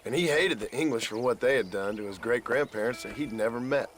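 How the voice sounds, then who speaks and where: Actor playing a weary American outlaw cowboy. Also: male voice, mid-range voice, a little fast, slight background noise, lightly acted. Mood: somber, reflective. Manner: dry, understated, formal courtesy. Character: weary, guarded former outlaw cowboy, grimly polite. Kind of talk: video game character acting.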